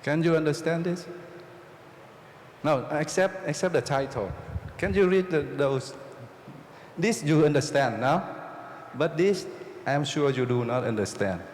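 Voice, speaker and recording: A Jesuit priest from Vietnam with a Vietnamese accent lectures a live audience, using simple, repeated phrases and often checking in with them. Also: male voice, on the high side, measured pace, pauses often, darkish tone. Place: oratory hall with a live audience. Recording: roomy hall reverb, faint noise.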